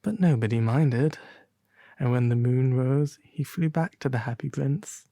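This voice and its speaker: Man, soft ASMR storyteller, mellow breathy tone, gravelly creak, gentle theatrical delivery. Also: medium pitch, unhurried, no room sound. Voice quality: soft, breathy, at times whispery. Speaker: ASMR roleplay narrator reading a fairy tale.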